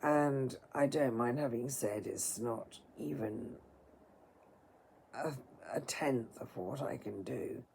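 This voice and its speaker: A tired woman with a hoarse, dry throat, speaking to listeners in a halting, politely formal way as a storyteller. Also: rumbling low, a little mellow, slight background noise. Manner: halting, apologetic, formal wording. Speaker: storyteller reading aloud and singing. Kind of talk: informal apologetic talk to listeners.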